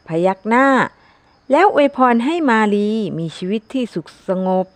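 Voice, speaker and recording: A woman's voice: on the high side, lively intonation, unhurried, dry recording. A woman narrating a scripted children's folktale as a storyteller. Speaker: storyteller, channel host.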